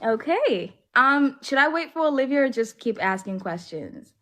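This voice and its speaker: A woman with a drawl hosts a livestream in an easy, talkative way. Her voice sits a little high, and her sentences run on with plenty of casual fillers. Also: sing-song, moderate pace, a bit bright. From Alabama, US. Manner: chatty, filler-heavy with frequent "like". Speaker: livestream host. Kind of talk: casual chat.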